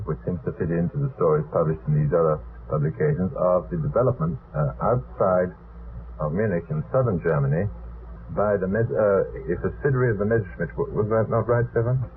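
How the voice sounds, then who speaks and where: Deep-voiced man on tinny old radio. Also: fairly quick. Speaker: guest, zoologist and writer. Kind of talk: conversational questioning on a radio panel. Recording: old radio recording, narrow phone-like sound, noisy.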